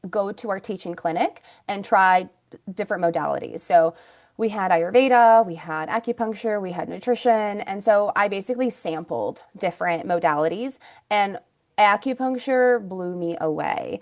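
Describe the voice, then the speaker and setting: A woman's voice: mid-range voice, moderate pace, a little mellow. Interview guest: woman in her thirties, Russian first language, from Ukraine, chatty storyteller with lots of fillers. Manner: chatty, many fillers and restarts. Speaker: guest, naturopathic doctor and acupuncturist.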